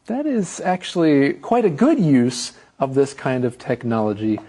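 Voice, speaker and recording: A man's voice: medium pitch, wildly swooping pitch, a little slow, dark tone, breathy edge. A man co-hosting an English lesson, chatting playfully and thinking aloud. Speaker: co-host. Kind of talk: casual chat in an English lesson.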